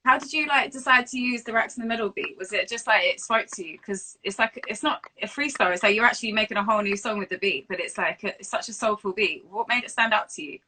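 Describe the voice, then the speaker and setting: A woman's voice: mid-range voice, fast, few pauses, bright, forceful tone, husky. A woman interviewing in a casual, informal live-stream chat, often saying "like". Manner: informal, frequent "like". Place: remote live video call. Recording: noisy live video call, clicks.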